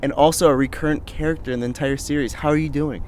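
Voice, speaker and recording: A man's voice: a little high, fairly even intonation, fast talker, darkish tone, a little hiss or hum. Upbeat male podcast host. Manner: upbeat, inquisitive. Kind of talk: casual interview.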